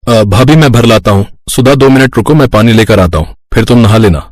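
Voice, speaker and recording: An actor playing an agreeable, easygoing husband in a cartoon family story. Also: male voice, lowish voice, a little fast, dry recording, lightly acted. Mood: helpful.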